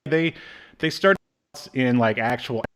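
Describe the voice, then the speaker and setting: A man's voice: medium pitch, slow, a bit bright, clear, resonant tone. A man in his thirties co-hosting a podcast from home, chatting casually and hesitantly. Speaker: podcast co-host. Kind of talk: casual chat reviewing old media. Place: indoor room at home, video call.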